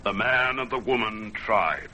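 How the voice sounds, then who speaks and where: An actor as the solemn storyteller of an old radio adventure, narrating in emphatic, portentous phrases. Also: male voice, on the low side, unhurried, sharp tone, a little hiss or hum. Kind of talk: dramatic scripted radio narration.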